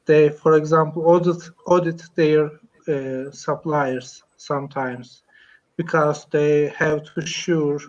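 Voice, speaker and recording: A man with a Turkish accent speaks English slowly and haltingly, with a somewhat high voice. He fills gaps with "uh" as he searches for words. The call audio is dull and muffled. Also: steady tone. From Turkey. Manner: hesitant, many fillers, searching for words. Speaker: English learner, computer engineer. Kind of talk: casual online English lesson chat. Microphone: video call.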